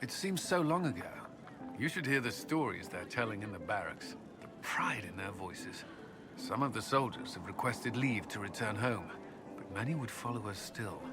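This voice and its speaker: An actor playing a dutiful military commander in a fantasy game, sincere and measured. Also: male voice, fairly low pitch, animated, lightly acted. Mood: earnest, relieved. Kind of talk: dramatic game dialogue. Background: music.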